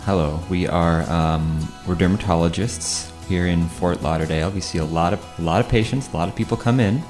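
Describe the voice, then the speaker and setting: A man playing a formal, staid married dermatologist in improvised radio comedy, introducing himself haltingly. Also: low voice, a little mellow, soft background music, lightly acted.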